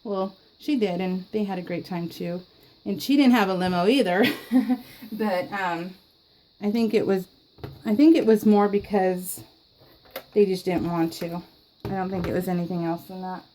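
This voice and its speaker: A woman's voice: medium pitch, normal speed, dark tone, a little hiss or hum, rough recording. Chatty, giggly woman scrapbooking on camera. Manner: chatty, giggly, many ums and restarts. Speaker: scrapbooking YouTuber. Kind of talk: casual chat while crafting.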